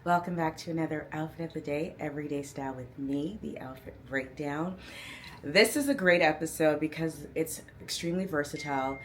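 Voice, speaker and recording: A woman's voice: deep voice, brisk, slight background noise. A woman in her thirties, a fashion vlogger, talking casually and upbeat to camera in a chatty, playful way. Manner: chatty, upbeat, playful slang, hypes herself up. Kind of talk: casual outfit breakdown to camera.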